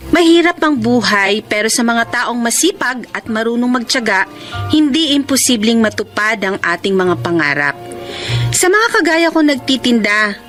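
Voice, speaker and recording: An actress playing a hardworking, sharp-tongued young woman in an emotional radio drama, with an emphatic, expressive delivery. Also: on the high side. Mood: earnest, uplifting.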